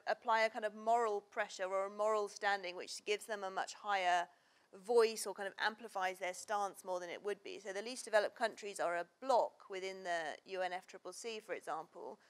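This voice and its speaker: A woman in her thirties answering a question on a conference panel, measured and explanatory, often saying "you know". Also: medium pitch, a bit bright. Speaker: panel researcher. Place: conference stage, seated in armchair. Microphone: lapel.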